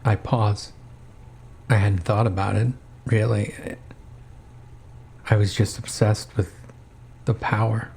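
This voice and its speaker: A man's voice: lowish voice, flat delivery, takes it slowly, vocal fry, long pauses, a little mellow, slight background noise. A man reading scripted first-person horror narration as the storyteller.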